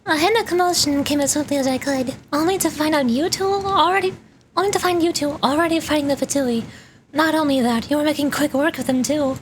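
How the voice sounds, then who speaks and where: A woman's voice: sits high, fast, lightly acted. A woman voicing a haughty, vengeful young noblewoman knight from a fantasy game, formal and indignant, over a noisy background.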